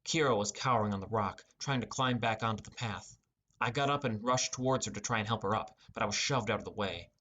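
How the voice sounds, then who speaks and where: A man's voice: lowish voice, fast talker, pushed and bright, slightly rough. A man narrating a scripted first-person fantasy adventure as an audiobook.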